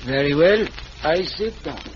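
Radio-play handyman: higher-pitched, very slow, ominous and superstitious, halting simple speech, muffled recording. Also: lively intonation, a little hiss or hum. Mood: deferential. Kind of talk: 1940s radio mystery acting.

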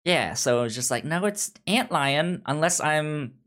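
A man co-hosting a casual discussion podcast, talking haltingly and often repeating sounds and words as he goes. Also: a little high, crowd noise. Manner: halting, frequent stammered repetition of sounds and words. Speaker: podcast co-host. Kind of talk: casual book discussion chat.

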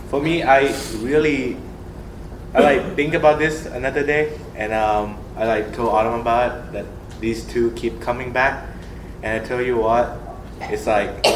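A young man from Thailand with a Thai accent, whose first language is Thai, sharing personal experiences in a group discussion in an earnest, animated way with lots of fillers. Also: medium pitch, background noise. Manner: earnest, animated, laughs often, many fillers. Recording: roomy echo with clear background ambience.

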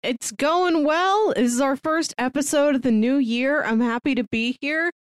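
A woman co-hosts a podcast, chatting casually with dry, deadpan humor. Her voice sits a little high and sounds close and dry, with little room echo. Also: moderate pace. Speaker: podcast co-host. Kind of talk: casual chat.

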